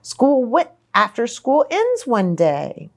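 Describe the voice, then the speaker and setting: A woman's voice: a little high, huge pitch swings, slow pace, vocal fry, pitched above the performer's own voice, exaggerated voice. A woman voicing a kind, eager young schoolgirl in a children's storybook, with a friendly, earnest manner.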